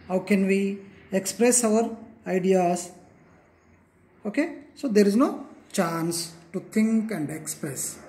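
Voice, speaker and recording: A teacher from Telangana, a native Telugu speaker with a Telugu Indian English accent, gives a classroom lecture, repeating points and checking in with okay and clear. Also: mid-range voice, a little mellow, slight background noise. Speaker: school teacher. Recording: echoey room.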